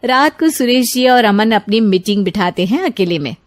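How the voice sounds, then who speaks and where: A woman's voice: mid-range voice, animated, normal speed. A woman reading scripted narration for a moral story as its narrator.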